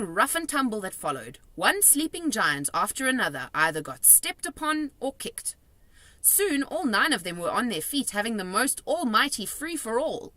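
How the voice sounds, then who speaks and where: A woman reading a children's storybook aloud in an animated narrating style. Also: on the high side, dry recording. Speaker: storybook reader.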